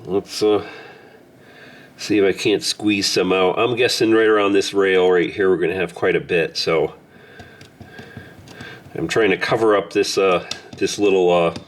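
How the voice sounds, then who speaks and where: A man's voice: low voice, fairly even intonation, unhurried, pauses often. A man from Southern California with a congested voice from the flu, chatting casually and rambling with many fillers while working on his model railroad layout at home. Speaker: model railroad hobbyist host. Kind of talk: casual hobby vlog.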